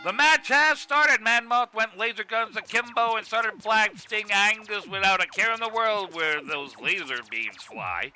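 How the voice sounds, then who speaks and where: A man's voice: sits high, unhurried, few pauses, bright, forceful tone, slight background noise, full character voice. A man playing a brash wrestling commentator in an amateur web cartoon. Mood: excited. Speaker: sports commentator.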